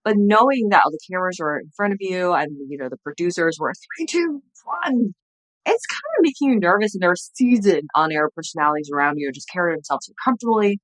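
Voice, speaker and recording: A woman in her thirties speaks English with a Chinese accent, her voice sitting fairly low. She talks earnestly and reflectively in a casual interview, often restarting her sentences. The sound is dry and close, picked up by a webcam at home. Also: animated, brisk, no room sound, poor audio. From China. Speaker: guest, entrepreneur and former TV journalist. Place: home room, indoors. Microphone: video call, webcam at desk distance.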